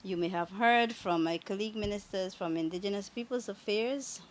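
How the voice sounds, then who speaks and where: A woman from Guyana with a Guyanese accent, speaking as a government minister in a radio interview in a measured, formal way. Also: mid-range voice. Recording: radio studio with faint noise.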